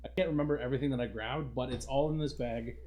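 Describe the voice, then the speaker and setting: A man's voice: mid-range voice, fairly even intonation, quick pace, a little mellow. Male podcast host, mid-range voice, informal livestream chat aimed at the viewers. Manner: informal, addresses viewers as guys. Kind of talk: casual livestream hosting.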